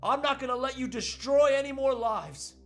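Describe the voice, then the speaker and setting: A man's voice: very high voice, moderate pace, fairly bright tone, faint music behind. A man playing a defiant, indignant everyman husband in a comedic script read, speaking with earnest melodrama. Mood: resolute.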